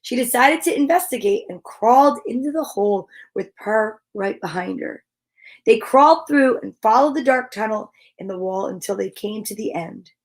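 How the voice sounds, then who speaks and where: A woman's voice: fairly high pitch, expressive, moderate pace. A woman hosting a live children's story time, reading aloud and chatting to viewers in an upbeat, gushing, encouraging way.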